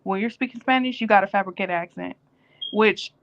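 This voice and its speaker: A woman co-hosting a casual live-stream chat, telling stories in a lively, animated way. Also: mid-range voice, a little fast, highs cut off, background noise.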